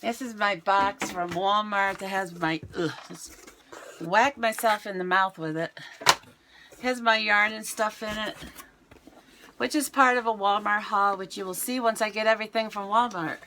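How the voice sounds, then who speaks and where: Chatty woman with a raspy voice. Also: medium pitch, steady tone, unhurried, noisy background. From United States. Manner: chatty, rambling, self-mocking. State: raspy voice today. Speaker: vlogger. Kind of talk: casual chat to camera. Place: home, indoors.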